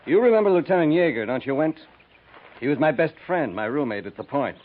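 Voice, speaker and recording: An actor playing a cold, resentful army lieutenant and husband, clipped and sarcastic, in a 1950s radio drama. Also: male voice, fairly high pitch, lively intonation, a little fast. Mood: cold, accusing. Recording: tinny 1950s radio recording.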